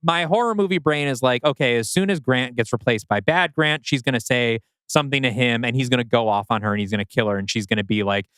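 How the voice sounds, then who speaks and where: Man, podcast co-host, smooth clear voice, dry close sound, casual story critique. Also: medium pitch, quick pace, few pauses, fairly bright tone, no room sound.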